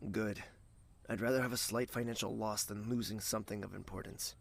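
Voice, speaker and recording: A man playing a brooding, commanding prince in an audio drama, with a measured, terse and guarded delivery. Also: fairly low pitch, fairly even intonation, gravelly. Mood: controlled.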